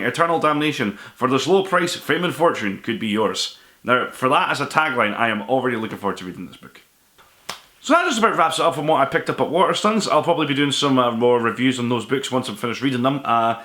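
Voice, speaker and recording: Male vlogger, medium pitch, fast and forward delivery, enthusiastic, rambling, casual chat. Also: bright, forceful tone. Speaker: host of a book haul vlog.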